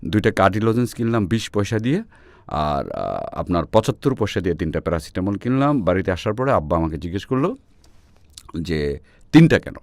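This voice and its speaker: Bangladeshi guy w a deep voice telling his own stories, drops english phrases in, dry recording. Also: very animated, a little fast, no room sound. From Netrokona, Bangladesh. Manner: code-switches often between Bengali and English phrases. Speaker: interview guest. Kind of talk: personal storytelling in an interview.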